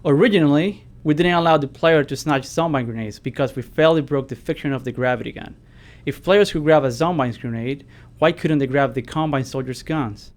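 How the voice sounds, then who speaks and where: A man reads prepared developer commentary. His voice sits a little higher than most men's, and he narrates as someone explaining game design. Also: fairly quick.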